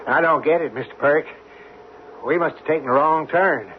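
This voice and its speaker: An actor playing a nervous, deferential assistant who speaks plainly and hesitantly in a radio mystery drama. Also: male voice, fairly high pitch, moderate pace, close to an everyday voice. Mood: worried, baffled. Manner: hesitant, plain-spoken, uneasy. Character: nervous, deferential male assistant to an underground explorer. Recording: tinny, band-limited old radio recording, faint noise.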